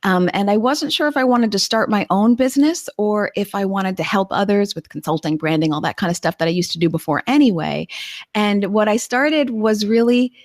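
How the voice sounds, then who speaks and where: A woman's voice: medium pitch, brisk, dry recording, clean studio sound. A woman, a guest in an interview, telling her story with enthusiasm. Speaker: guest, journalist and business consultant. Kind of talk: conversational interview answers.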